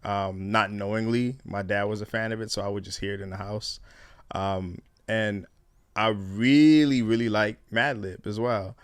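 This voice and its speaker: Young adult man, low-pitched, smooth clear tone, relaxed slangy guest, dry close sound. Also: normal speed, no echo. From born in Ghana, raised in Canada. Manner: laid-back, slangy, swears freely. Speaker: interview guest. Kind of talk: casual interview chat.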